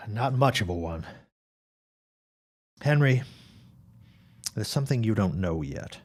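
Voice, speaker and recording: A protective father and loyal neighbor, played by a man in a period audio drama. His voice sits low, and he comes across as resolute, a man set on guarding his own and warning his friend. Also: expressive, slow pace. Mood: grave.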